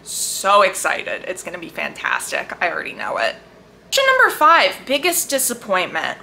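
A woman book vlogger chatting casually and enthusiastically to camera, leaning hard on emphatic, drawn-out words. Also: medium pitch, huge pitch swings, gravelly, pushed and bright, a little hiss or hum. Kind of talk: casual chat to camera about books.

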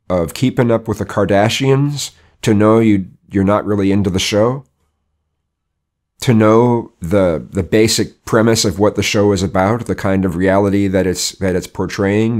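A hoarse middle-aged man talking casually to camera in a rambling opinion vlog, full of restarts and rhetorical questions. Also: on the low side, darkish tone. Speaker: vlogger. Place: indoor studio with colored backdrop.

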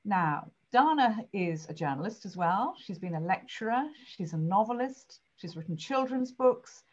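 A woman's voice: mid-range voice, lively intonation, moderate pace. An older woman hosting a conversational interview over a video call. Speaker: interview host. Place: indoors at home, on a video call. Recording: slightly dull video-call audio.

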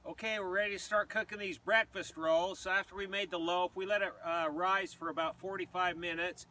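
A middle-aged man hosting a casual cooking demonstration, talking plainly and relaxed while he works with his hands, first in a kitchen, then in an open field. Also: high-pitched, a little fast, distant mic. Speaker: outdoor cooking host. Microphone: camera mic at a distance.

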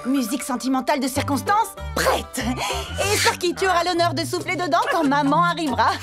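A woman's voice: sits high, brisk, lightly acted. A woman voicing an anxious, fussy young pony princess in a cartoon, dramatic and flustered. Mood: bossy, excited. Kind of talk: French-dubbed cartoon acting. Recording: music behind the voice.

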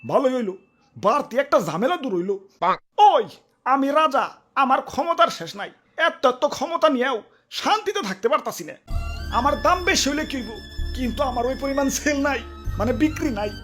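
A man's voice: extremely high pitch, big pitch swings, fairly quick. A man voicing a vain, boastful hilsa fish king in a cartoon, talking in a rustic, theatrical way. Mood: self-pitying. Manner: rustic dialect, bragging, theatrical. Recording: cartoon with music behind.

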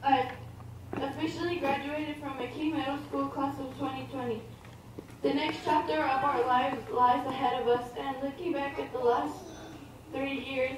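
A woman's voice: sits high, very echoey, background noise. A woman reading an earnest, formal graduation speech, recorded by a camera from a distance. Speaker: graduation speaker.

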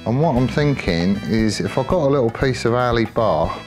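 A man with an English accent giving casual, off-the-cuff workshop commentary as a motorcycle builder, chatty and colloquial, thinking out loud. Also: medium pitch, lively intonation, normal speed, faint music behind.

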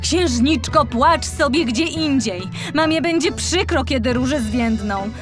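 A woman's voice: high-pitched, expressive, over music. Woman playing a lamenting royal gardener man. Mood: grumbling, exasperated. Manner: lamenting, drawn-out. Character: wailing, loyal male royal gardener, grumbling and distressed.

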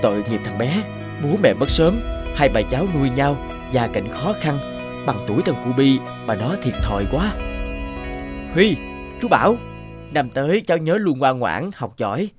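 Man acting animated, friendly cartoon dad. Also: medium pitch, normal speed, over music, natural voice. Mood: sympathetic, encouraging. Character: friendly father and neighbour, middle-aged man.

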